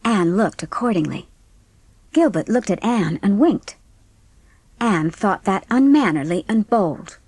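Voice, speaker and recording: A woman narrates a storybook in scripted audiobook style. Her voice sits at a middle pitch, and the sound is dry with no room echo. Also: lively intonation, measured pace, darkish tone, husky.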